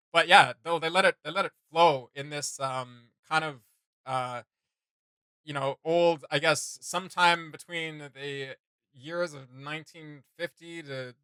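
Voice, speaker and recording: A man's voice: on the high side, bright, forceful tone, smooth, no room sound. A man hosting a reaction video with casual, unscripted commentary, rambling through his thoughts in slangy, enthusiastic fan talk.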